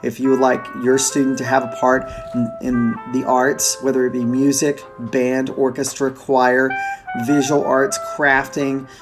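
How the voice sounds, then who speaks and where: A man speaking as host and theater director, giving an earnest, halting welcome speech. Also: mid-range voice, completely flat delivery, over music.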